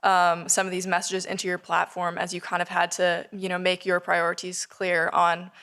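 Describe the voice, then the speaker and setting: A woman's voice: fairly low pitch, completely flat delivery, normal speed, creaky, fairly bright tone, clear, resonant tone. A woman in her twenties from Singapore, a university student putting a prepared question to a guest speaker. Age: 20s.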